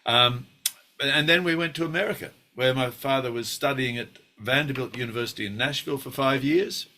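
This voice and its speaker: Older Australian actor, sixties, bright and forceful tone, wry storyteller who hesitates and restarts. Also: male voice, medium pitch, a little fast. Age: 60s. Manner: wry, self-deprecating, with many fillers and restarts. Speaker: actor guest. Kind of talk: relaxed interview reminiscing.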